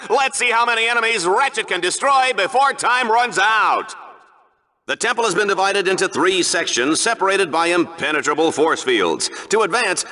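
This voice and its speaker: A man's voice: sits high, sharp tone, full character voice. An actor playing a brash, smarmy TV announcer of arena combat in a video game, with hammy, punchy showman delivery. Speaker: sports show announcer. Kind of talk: over-the-top game show sports commentary.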